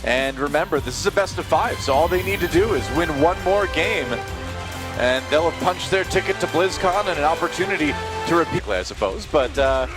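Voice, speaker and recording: A man's voice: on the high side, lively intonation, normal speed, over music. A man casting an esports match with play-by-play commentary, cracking wry jokes between calls. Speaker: esports caster.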